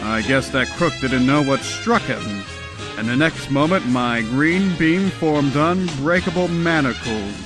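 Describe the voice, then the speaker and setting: A man's voice: fairly high pitch, animated, a little slow, music in the background. A man playing a confident, earnest comic-book superhero recounting his exploits. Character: confident adult male superhero, earnest and quick-acting. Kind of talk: comic book performance, first-person recounting.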